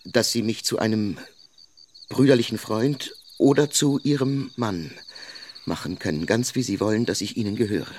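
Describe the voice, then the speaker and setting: Actor as a low-voiced gallant suitor, earnest and formal. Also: male voice, normal speed, darkish tone, slight background noise, lightly acted. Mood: earnest, pleading. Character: ardent, courteous male suitor consoling a young widow. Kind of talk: literary radio drama acting.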